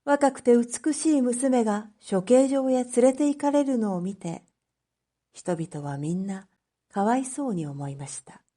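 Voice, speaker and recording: A woman with a fairly high, very mellow voice narrates a story. She reads at a slow pace, phrasing each line carefully, as a teacher does for language learners. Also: lively intonation. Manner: careful, clearly phrased reading. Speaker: teacher narrating read-aloud material.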